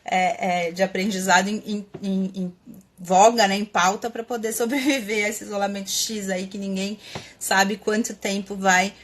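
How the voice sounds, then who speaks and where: A woman's voice: medium pitch, flat delivery, normal speed. A woman with a Brazilian Portuguese accent hosting a live stream, thinking aloud in a casual, reflective way with many fillers and restarts. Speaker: host of a live stream on writing. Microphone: webcam or computer mic, live stream.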